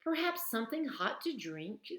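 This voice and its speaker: A woman's voice: on the high side, very animated, moderate pace, fairly bright tone. A woman voicing an imperious, stern queen who asks commanding questions, recorded with a roomy echo. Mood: falsely sweet.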